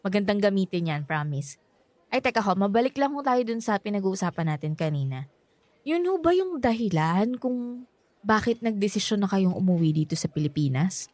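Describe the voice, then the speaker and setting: A woman's voice: mid-range voice, big pitch swings, moderate pace, darkish tone, no echo. An actress playing a friendly young Filipino woman in a radio drama, speaking politely with a playful, slightly hesitant manner and a Filipino accent. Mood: chatty. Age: young adult. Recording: background music.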